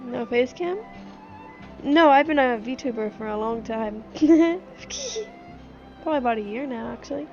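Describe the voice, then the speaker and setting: Female game streamer, high voice, unhurried, giggly asides, casual live chat, faint game music behind. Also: muffled recording. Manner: giggly, muttered short reactions. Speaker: VTuber streamer. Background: video game music and dialogue.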